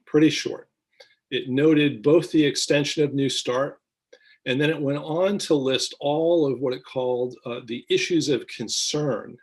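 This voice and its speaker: An American man with a mid-pitched, somewhat husky voice speaks as a policy analyst being interviewed. His delivery is measured and deliberate, breaking often for ums and short pauses as he lays out his commentary. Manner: halting, deliberate phrasing with frequent ums and pauses.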